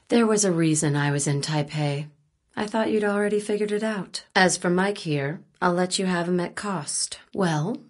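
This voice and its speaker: A woman's voice: on the low side, a bit bright, slightly rough. An actress playing a wry, flirtatious, cool-headed female journalist in a video game, speaking in a teasing, dry, understated way. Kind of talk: video game dialogue, conversational.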